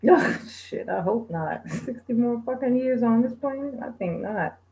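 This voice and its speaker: A woman in her forties hosting a livestream, chatting casually with viewers, laid-back and quick to laugh. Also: a little high, animated, darkish tone, low-quality recording. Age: 40s. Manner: laid-back, laughs often, sings bits. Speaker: livestream host. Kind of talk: casual chat reading viewer comments.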